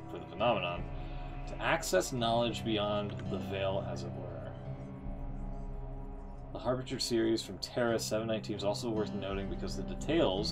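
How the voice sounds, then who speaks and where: A male game streamer with a fairly low voice. He reads aloud and comments casually, musing in an offhand, sweary manner. Music with guitar is clearly audible behind him.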